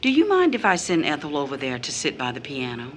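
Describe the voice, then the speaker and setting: A woman's voice: sits low, huge pitch swings, quick pace, faint music behind. An actress playing a tired, numb, poor mother, wary and protective, with blunt questioning, in an audio drama. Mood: blunt, probing. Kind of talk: scripted audio drama acting.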